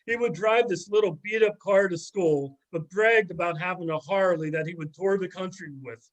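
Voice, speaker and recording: Sardonic middle-aged ex-student, played by a man, high male voice, gossipy and mocking, amateur readers theater. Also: moderate pace, highs cut off, lightly acted. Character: sardonic middle-aged former student dishing on an old teacher. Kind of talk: amateur readers theater, conversational script reading.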